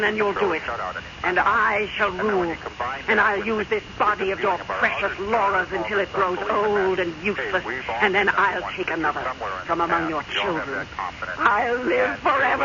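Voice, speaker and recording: A woman's voice: mid-range voice, close to an everyday voice. A woman playing an evil, imperious dead stepmother who has possessed a young woman, scornful and commanding, in a radio mystery drama. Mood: gloating menace. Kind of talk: 1970s radio mystery acting. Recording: dull old radio recording, crowd noise behind.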